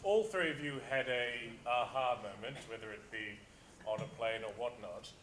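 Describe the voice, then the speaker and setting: A man in the audience with a loud voice, asking the panel a question in a jokey way. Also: medium pitch, moderate pace.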